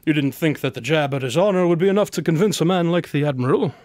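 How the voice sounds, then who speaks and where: A man's voice: on the high side, fast talker, a little mellow, no room sound, clean studio sound, close to an everyday voice. A man playing a confident, articulate detective in a radio drama, formal, precise and coolly superior. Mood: wry.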